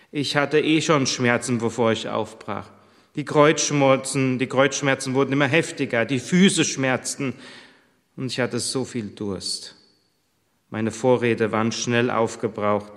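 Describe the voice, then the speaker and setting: A pregnant young servant woman on the run pours out her hardship in the first person, voiced by a man in his own plain voice. She speaks slowly and earnestly. The words ring out with a long echo, as if spoken in a large church. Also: medium pitch. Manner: heartfelt first-person storytelling.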